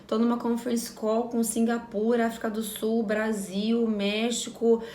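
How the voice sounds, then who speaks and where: A woman's voice: on the high side, little pitch movement, measured pace, mellow, reverberant, a little hiss or hum. A Brazilian woman in her thirties talking frankly to camera in a casual vlog, repeating phrases for emphasis. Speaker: vlogger.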